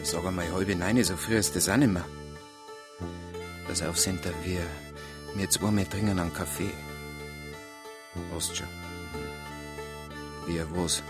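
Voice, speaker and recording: A man's voice: sits low, steady tone, unhurried, long pauses. An actor playing a dry, inquisitive small-town policeman in a radio drama, talking casually in a Bavarian accent. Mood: coaxing. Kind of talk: naturalistic radio drama dialogue. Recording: music behind the voice.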